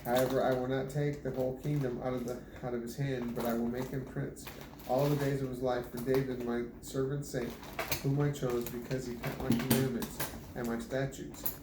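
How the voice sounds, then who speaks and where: A man reads scripture aloud at home, keeping an even, unvaried tone and restarting whenever he trips over a name. His voice sits at a middling pitch. The room is echoey, and steady background noise runs under the reading. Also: normal speed. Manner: stumbles and restarts on hard names. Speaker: Bible reader.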